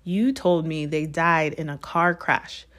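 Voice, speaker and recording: A woman's voice: sits low, fairly even intonation, slow pace, a bit bright. Earnest, questioning storybook boy, voiced by a woman. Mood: shocked, urgent. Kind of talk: storybook character voice.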